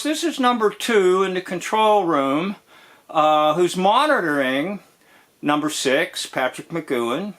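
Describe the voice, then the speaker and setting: A man giving informal commentary on a TV episode as host, in a deliberate, drawn-out way that dwells on key phrases. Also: sits high, fairly bright tone.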